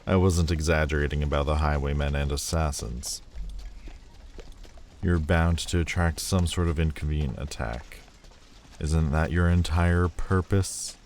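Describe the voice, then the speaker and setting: A man voicing a sullen, proud demon lord who speaks with slow, scornful deliberation in an audio roleplay. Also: rumbling low, fairly even intonation, darkish tone, a little hiss or hum. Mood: disdainful.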